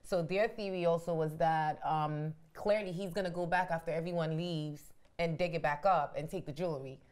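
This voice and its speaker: A woman's voice: low voice, steady tone, normal speed, darkish tone. A woman from Ghana co-hosting a casual panel chat, telling a long story with frequent restarts. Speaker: co-host.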